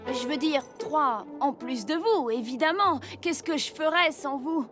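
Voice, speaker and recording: Earnest, high-voiced young boy. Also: unhurried. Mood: resolved. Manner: unsure, earnest, conscientious. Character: earnest young orphan boy apprentice Santa. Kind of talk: French cartoon dubbing. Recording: music behind the voice.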